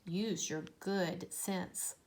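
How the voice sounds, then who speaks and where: A woman voicing a gentle, wise mother bat in a storybook, speaking softly and soothingly to her child. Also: fairly low pitch, fairly even intonation, drawn-out words. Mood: tender, reassuring. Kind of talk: storybook read-aloud.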